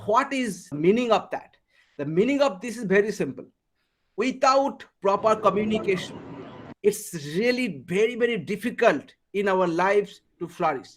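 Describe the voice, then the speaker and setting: A man with an Indian English accent leads a live online class over a video call. His voice sits high for a man. He quizzes his students and says key words twice. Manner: asks the class questions, repeats words for emphasis. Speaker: English communication trainer. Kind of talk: live online workshop lecture.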